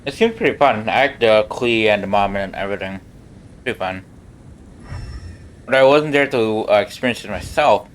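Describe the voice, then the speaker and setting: A man's voice: fairly low pitch, normal speed, pauses often, slight background noise. A man giving casual, offhand live reactions as he streams a video game. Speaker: game livestreamer.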